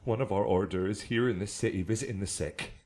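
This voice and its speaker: An actor playing an earnest friar messenger, declaiming with animation in a Shakespearean radio drama. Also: male voice, fairly low pitch, fast, a little mellow, close to an everyday voice. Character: earnest friar messenger bearing bad news.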